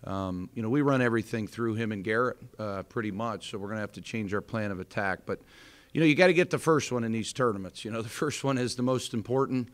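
A somewhat low-voiced American man in his thirties speaks at a postgame press table into a mic in front of him. He is a basketball coach talking candidly, circling back with fillers and restarts as he gathers his thoughts. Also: fast talker. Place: indoor press room in front of a sponsor backdrop. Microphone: table microphone in front.

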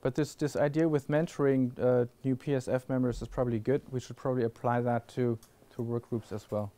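A man's voice: medium pitch, little pitch movement, a little fast, dark tone. Man, panel speaker, handheld mic, classroom hall, hesitant restarts. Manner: thoughtful, many restarts and fillers. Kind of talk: conference Q&A answers. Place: classroom lecture hall with audience.

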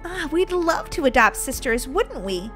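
Woman as a bright, teasing mother unicorn. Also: sits high, sing-song, unhurried, faint music behind, heavily acted. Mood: fond. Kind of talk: children's pretend-play story acting.

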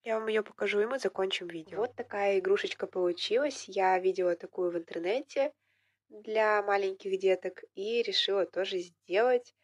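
A young woman with a slightly hoarse voice from a cold, casually narrating her clay crafting at home in a relaxed, self-ironic way. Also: medium pitch, normal speed, no room sound. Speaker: vlogger, polymer clay crafter. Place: indoors at home, at a table.

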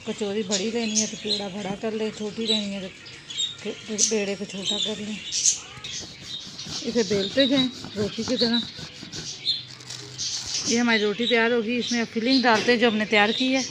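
A woman, calmly explaining a home cooking recipe step by step while she works. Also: mid-range voice, moderate pace, pauses often. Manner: calm, instructive, talks while working with hands. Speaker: home cooking channel host. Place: home kitchen. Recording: loud background noise, birds chirping.

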